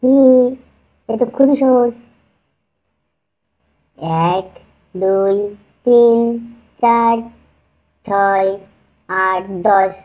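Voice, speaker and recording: A woman's voice: medium pitch, very slow, long pauses, lo-fi sound. A woman voicing a cheeky, boastful young village boy in a children's puppet story. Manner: boastful, playful.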